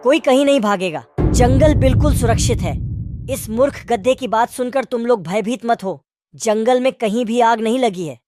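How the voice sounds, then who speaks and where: A woman plays a male jackal in an animated moral story. The voice sits on the high side with no room sound, inquisitive and reasoning things through. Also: normal speed, no room sound, faint music behind, lightly acted. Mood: firm. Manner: questioning, reasoning. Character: curious, sceptical, level-headed male jackal.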